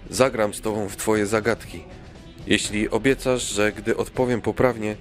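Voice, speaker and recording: A man playing a brave, kindly king and devoted father in a children's audio drama, earnest and measured. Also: on the low side, fairly even intonation, soft background music, natural voice. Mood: resolute. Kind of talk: children's radio play acting.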